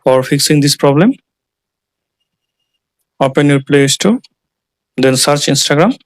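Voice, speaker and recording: A man's voice: fairly high pitch, expressive, a little slow, no room sound. A man narrating a scripted step-by-step tech tutorial in short, plain instructional phrases. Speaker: tutorial host.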